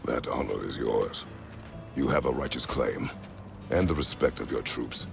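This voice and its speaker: A man voicing a stern, battle-worn warrior father in a video game, speaking in terse, solemn sentences with a gruff gravelly voice. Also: unhurried, over music, full character voice. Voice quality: very deep, gravelly. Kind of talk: cinematic video game acting.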